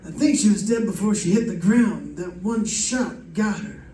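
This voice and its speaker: A roomy, distant stage recording of a man acting a police detective. His voice is mellow and easygoing, with matter-of-fact delivery for a radio mystery. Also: high-pitched, expressive, moderate pace, a little hiss or hum. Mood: explaining. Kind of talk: old-time radio detective drama.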